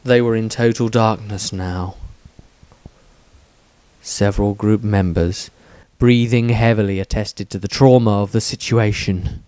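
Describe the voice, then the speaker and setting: A man reading his own fantasy story aloud in a deliberate, dramatic storytelling manner. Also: lowish voice, fairly even intonation, mellow, clear, resonant tone, no echo, low-quality recording. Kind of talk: scripted fantasy audiobook narration.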